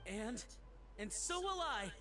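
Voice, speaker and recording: A woman's voice: fairly high pitch, sing-song, slow. A woman playing a devoted young daughter in a video game, earnest and caring. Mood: earnest, grateful. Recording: music under the voice.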